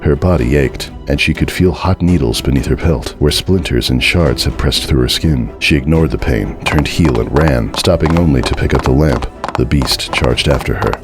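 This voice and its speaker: A man's voice: a bass voice, normal speed, hardly any pauses, music in the background. A man narrating a horror story as a dramatic reading.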